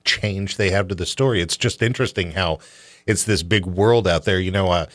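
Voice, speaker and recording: A man's voice: low voice, moderate pace, dry recording. A man hosting a radio show in a casual, colloquial, opinionated and joking conversational style.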